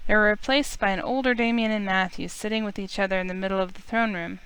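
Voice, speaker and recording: Woman reading game narration out loud, clean smooth voice, normal pitch. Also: steady tone, fast talker, poor audio. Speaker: visual novel player and narrator. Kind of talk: scripted narration read aloud.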